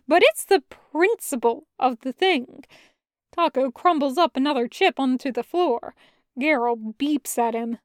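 A woman narrates a story from a script in an expressive reading style. Her voice sits high, and the recording is dry and close with little room sound. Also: unhurried, no echo. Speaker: podfic reader narrating fan fiction.

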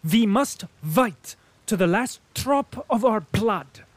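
A man reading aloud voices a stout, patriotic German colonel who declaims loudly and pompously. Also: high voice, animated, takes it slowly, dry recording, voice pushed up, exaggerated voice. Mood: blustering. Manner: loud, emphatic, pompous declaiming.

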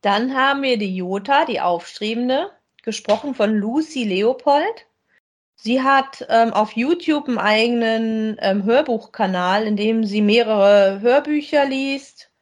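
A woman's voice: fairly high pitch, unhurried. A woman, chatting casually as a podcast co-host.

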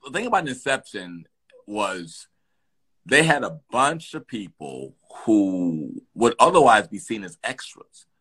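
A middle-aged man, a guest actor, telling animated stories from his work in an interview. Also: on the low side, unhurried, slightly rough, poor audio. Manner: animated, lively storyteller with restarts. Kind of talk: storytelling in an interview.

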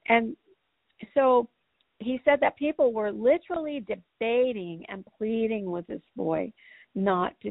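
A woman co-hosting a podcast, earnest and hoarse from a cold, clearing her throat now and then. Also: mid-range voice, no echo. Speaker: podcast co-host. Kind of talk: casual podcast talk. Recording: dry, tinny phone-like sound.

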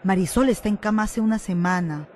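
A woman's voice: medium pitch, a little fast, darkish tone, a little hiss or hum, close to an everyday voice. A woman playing a practical, impatient young student in a radio drama. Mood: concerned.

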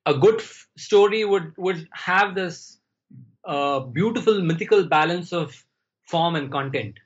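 A man from India, a Tamil speaker, talking slowly and thoughtfully as a podcast guest over a remote call. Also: on the high side, animated, dull audio. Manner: slow, searching, thinks aloud. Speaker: guest storyteller. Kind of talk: reflective interview talk.

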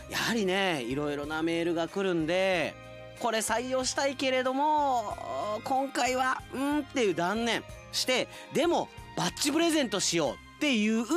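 Lively, high-pitched male radio host. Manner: chatty, playful, conversational asides. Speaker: radio announcer and show host. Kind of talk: casual radio show talk.

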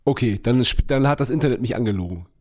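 A man hosts a relaxed podcast chat. He talks in a loose, friendly way, stumbling over his own words with lots of fillers and laughing easily. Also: mid-range voice. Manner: chatty, many restarts and fillers, quick to laugh. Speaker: podcast host. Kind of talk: casual interview chat.

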